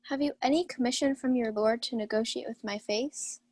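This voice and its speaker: A woman's voice: a little high, a little fast, a little mellow, slight background noise, close to an everyday voice. A young woman playing a proud, commanding countess in a theatrical radio Shakespeare play. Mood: haughty. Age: young adult. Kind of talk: 1940s radio Shakespeare acting.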